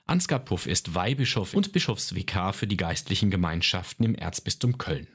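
A very low-voiced man hosts a radio podcast with a husky, breathy tone. He reads a script calmly and formally, with the even poise of a church broadcaster. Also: normal speed. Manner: calm, formal presenting. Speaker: podcast host.

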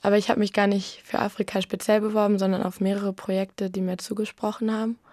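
Young woman in her twenties, student, flat monotone, dry sound. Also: mid-range voice, quick pace, dry recording. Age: 20s. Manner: flat, plain, casual. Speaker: student, former volunteer. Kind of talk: interview answers.

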